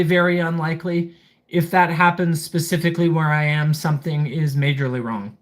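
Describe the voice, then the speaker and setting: Methodical livestream gadget reviewer. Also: medium pitch. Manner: methodical, reading items aloud then commenting. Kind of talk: casual live product walkthrough.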